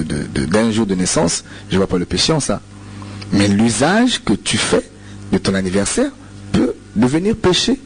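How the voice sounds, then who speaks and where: A man's voice: medium pitch, a little hiss or hum. A man from Congo with a Congolese French accent, a radio preacher answering listener questions, hesitant, with many repeated words and fillers. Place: radio studio.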